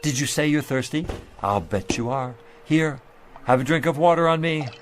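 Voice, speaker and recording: An actor playing a kindly, folksy pitchman of sixty-nine who tells tales with theatrical sales patter in a radio drama. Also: normal speed, natural voice. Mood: friendly. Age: older. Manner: theatrical salesman patter with children, gentle and chatty. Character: kindly sixty-nine-year-old male street pitchman, folksy storyteller. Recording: music under the voice.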